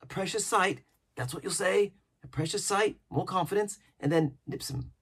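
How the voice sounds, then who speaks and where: Storybook castaway pirate, played by a male reader: old, sly, excitable, high husky voice, rambling and repeating himself in rustic sailor talk. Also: normal speed, full character voice. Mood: sly, cajoling. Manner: emphatic, repetitive, rustic sailor's speech.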